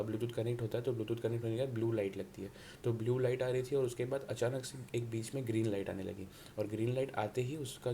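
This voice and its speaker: A man's voice: lowish voice, dark tone. A man with a Mumbai Hindi accent talking casually to viewers as a motorcycle vlogger, explaining colloquially and repetitively with English words mixed in. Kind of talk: casual vlog talk to viewers. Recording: noisy background, ambient and outdoor hum.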